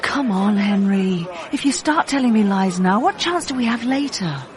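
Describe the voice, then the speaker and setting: An actress playing a hurt, challenging fiancée in a radio drama, speaking in a pointed, accusing way. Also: female voice, mid-range voice, animated, moderate pace, natural voice. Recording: busy crowd noise behind the voice.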